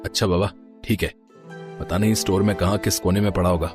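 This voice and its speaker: A man's voice: sits low, steady tone, a little fast, music in the background, close to an everyday voice. A man playing an easygoing young husband in a cartoon family story, teasing yet cautious.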